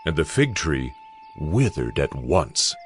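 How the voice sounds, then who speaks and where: A man's voice: very deep voice, big pitch swings, slow pace, faint music behind. A man reading scripted narration for a dramatized audiobook.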